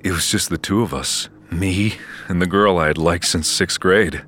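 An actor playing a grown man who recounts his troubled youth in first-person narration, with a very deep voice. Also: normal speed, a bit bright, soft background music. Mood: reflective. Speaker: narrator of an audio drama.

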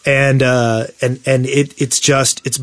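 A man co-hosting a casual gaming podcast, telling stories in an animated way. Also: mid-range voice, slow pace, no room sound. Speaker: podcast co-host.

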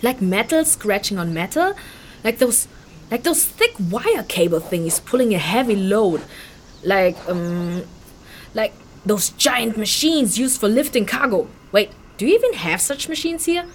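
Casting: candid woman refugee, informal and very expressive, mid-pitched, fantasy audio drama. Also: unhurried, slight background noise. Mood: rattled, recollecting. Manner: informal, slangy, expressive, hesitant recollection. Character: chatty, candid human woman refugee, easily amazed.

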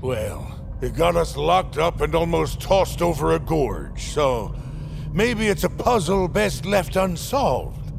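An actor playing a gruff, weary old brawler in an audio drama, blustery and grumbling. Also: male voice, on the high side, sing-song, slow pace, noisy background, heavily acted. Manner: blustery, grumbling, speaks in curses. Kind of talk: audio fiction drama acting.

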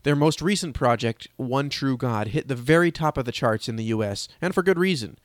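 A man's voice: mid-range voice, animated, fairly quick, dry recording, studio quality. A man hosting an audio newsletter, reading scripted news in an upbeat announcer manner and then interviewing a guest. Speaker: host. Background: music.

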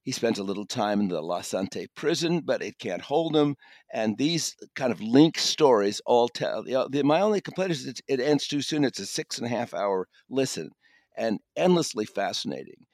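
A man's voice: mid-range voice, lively intonation, normal speed, darkish tone, no echo, clean studio sound. A man giving a conversational review as a guest critic on a podcast.

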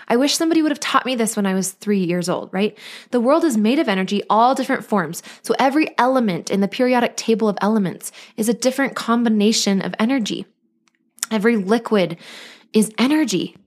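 A woman's voice: fairly high pitch, brisk. A woman in her thirties, a mother and life coach, hosting her own podcast in an earnest, bubbly, chatty way. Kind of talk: casual solo podcast monologue.

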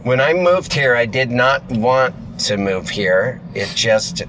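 A man's voice: mid-range voice, lively intonation, slow, fairly bright tone, a little hiss or hum, rough recording. A man co-hosting a comedy podcast, rambling and tripping over his words for laughs in casual chat. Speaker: podcast host. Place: moved to Los Angeles from Austin.

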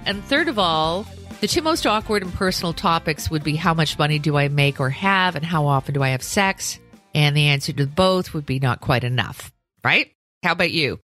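Woman podcast co-host, middle-aged, low-pitched, bright and forceful tone, dry close sound, deadpan quips. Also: moderate pace, faint music behind. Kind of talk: casual chat, interviewing.

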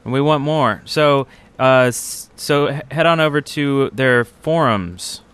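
Bright-voiced, slow-paced man co-hosting. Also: mid-range voice, clear, resonant tone. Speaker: podcast co-host. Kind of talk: casual chat.

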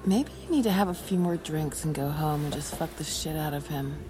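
A woman's voice: deep voice, brisk, dark tone, natural voice. A woman in her thirties acting in an audio drama as a bold, teasing, tipsy confidante who talks bluntly and playfully. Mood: bold, flirty. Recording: clear music behind the voice.

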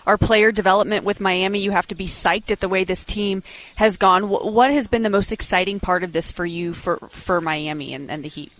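A woman's voice: medium pitch, fairly quick, fairly bright tone, low-quality recording. A woman co-hosting a sports radio show, asking questions in a casual interview style.

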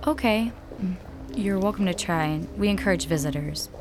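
An actress playing a polite, sympathetic nursing home nurse in an audio drama. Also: female voice, medium pitch, normal speed, background noise, close to an everyday voice.

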